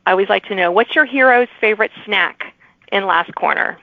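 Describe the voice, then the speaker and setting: A woman's voice: medium pitch, brisk, dry recording. Phone-like audio, enthusiastic woman podcast host. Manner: enthusiastic, encouraging. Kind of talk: conversational interview.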